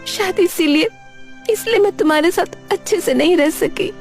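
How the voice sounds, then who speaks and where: Woman as a high-pitched remorseful elder sister-in-law. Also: moderate pace, faint music behind. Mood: tearful confession. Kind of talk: cartoon story character voice.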